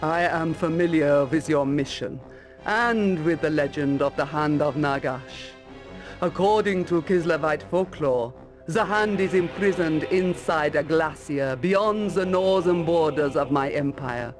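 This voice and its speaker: An actor playing a grave, regal ruler of a northern empire in a fantasy game, telling things in a solemn, measured way. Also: male voice, on the high side, lightly acted. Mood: solemn, ominous. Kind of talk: fantasy video game cutscene acting. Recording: loud music under the voice.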